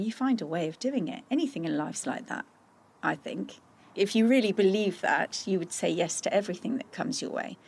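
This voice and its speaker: A middle-aged woman from Austria talks as a podcast guest in an earnest, encouraging way, often restarting her phrases. Her voice sits low and has a gravelly, creaky texture. A faint hiss of noise runs behind her. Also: a little mellow. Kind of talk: casual podcast interview.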